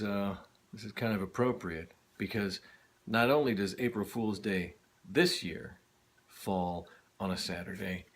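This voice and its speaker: A middle-aged American man chatting casually to camera in a halting, wry way. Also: sits low. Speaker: vlogger, former radio announcer.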